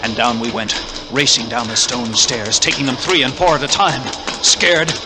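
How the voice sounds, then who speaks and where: A man's voice: mid-range voice, moderate pace, natural voice, low-quality recording. An actor in a 1940s radio drama playing a haunted lighthouse keeper who narrates his terror with suspenseful, lingering intensity. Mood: breathless dread. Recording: vintage 1949 radio broadcast with loud music.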